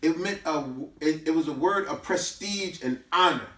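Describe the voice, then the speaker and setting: A man's voice: a little high, moderate pace, fairly bright tone. An American man giving an informal, preachy teaching talk, emphatic and repetitive, full of rhetorical questions. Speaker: host giving a talk on history and culture. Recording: echoey room, distant microphone.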